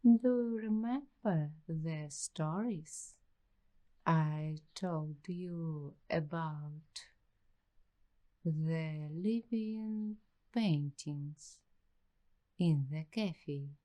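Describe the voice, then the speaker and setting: A woman playing an uneasy, paranoid young waitress in an ASMR roleplay, speaking in hushed, deliberate, halting phrases with suspenseful pauses. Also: deep voice. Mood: eerie suspense. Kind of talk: slow ASMR roleplay storytelling.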